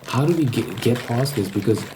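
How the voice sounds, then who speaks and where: A man's voice: medium pitch, expressive, brisk, noisy background. A man giving a casual, rambling ASMR monologue, informal and hesitant, often repeating his words. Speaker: host.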